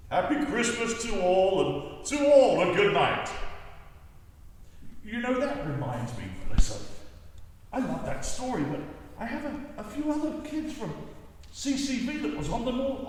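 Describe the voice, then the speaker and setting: A kindly, jolly old Santa as a man acts him out talks to children in a lively, high voice. He sounds far off in a very reverberant hall. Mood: jovial, chatty. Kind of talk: storybook recital and chat for children. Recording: distant, very echoey hall, faint noise.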